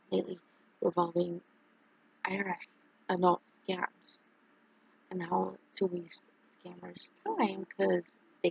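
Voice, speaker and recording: Streamer, casual chat; heavy vocal fry, breathy edge, dull lo-fi recording, rambling delivery. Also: medium pitch. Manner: rambling, halting, many fillers and restarts.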